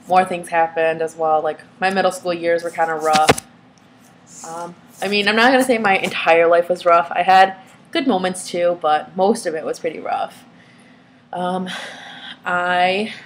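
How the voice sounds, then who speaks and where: A young woman whose first language is Vietnamese, talking casually to the camera with lots of pauses and fillers. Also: fairly low pitch. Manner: hesitant, many fillers and restarts. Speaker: vlogger.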